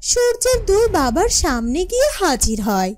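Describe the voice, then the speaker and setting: A woman's voice: very high voice, big pitch swings, moderate pace, a little mellow. A woman narrating a scripted cartoon fairytale for viewers.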